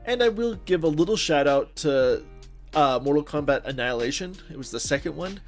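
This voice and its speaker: A man's voice: on the high side, animated, fairly quick. Enthusiastic man chatting over music. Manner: enthusiastic, emphatic. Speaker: podcast co-host. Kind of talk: casual chat about films and games.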